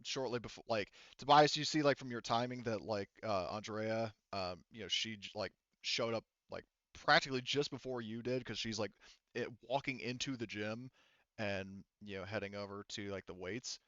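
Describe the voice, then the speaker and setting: Male game master, smooth clear voice, casual halting improvised narration, dry and slightly muffled recording. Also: lowish voice, a bit bright, no echo. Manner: halting, rambling, self-correcting, casual.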